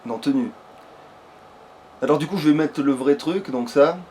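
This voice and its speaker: A man's voice: a little high, normal speed. A man chats casually while gaming, rambling in a slangy, jokey way. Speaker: let's play gamer. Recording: noisy home recording, steady background noise.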